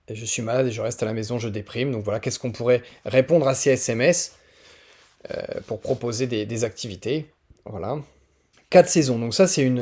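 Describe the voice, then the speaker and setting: A man's voice: mid-range voice, dark tone. A man from northern France with a French accent presents teaching resources in an informal, explanatory screen tutorial, often hesitating as he thinks aloud.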